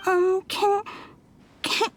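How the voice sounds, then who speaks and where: A woman's voice: very high-pitched, flat delivery, extremely slow, a little hiss or hum, exaggerated voice. A woman voicing a timid, tearful little ghost child in a video game, stammering and pleading hesitantly.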